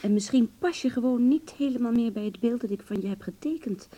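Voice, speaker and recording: Radio play lead: wry, wounded beautician in love, female, mellow relaxed tone, intimate and hesitant delivery. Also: a little high, a little fast, breathy edge. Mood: uneasy, tender. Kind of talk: Dutch radio play acting, intimate dialogue.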